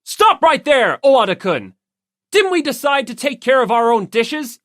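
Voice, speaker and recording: A man voicing a strict, indignant teenage hall monitor in an audiobook, scolding loudly in formal words. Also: very high voice, sing-song, normal speed, pitched above the performer's own voice, heavily acted. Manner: formal, scolding, forceful shouting. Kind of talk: audiobook character voice.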